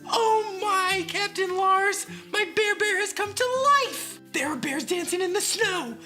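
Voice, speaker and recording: A man voicing an excitable, wide-eyed little girl in a storybook read-aloud, gushing and exclaiming breathlessly. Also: very high-pitched, a little slow, soft background music, pitched above the performer's own voice, heavily acted. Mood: thrilled, breathless.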